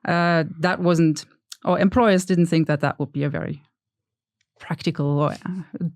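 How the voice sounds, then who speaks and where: A woman's voice: lowish voice, steady tone, unhurried, darkish tone, no room sound. Woman on a dry close mic. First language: German. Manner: thoughtful, hesitant, self-deprecating. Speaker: podcast guest. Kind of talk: casual interview chat.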